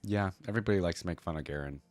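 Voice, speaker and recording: A man's voice: low voice, racing, slight background noise. A man hosting a reaction video, commenting casually and musing aloud in an informal way. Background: faint music.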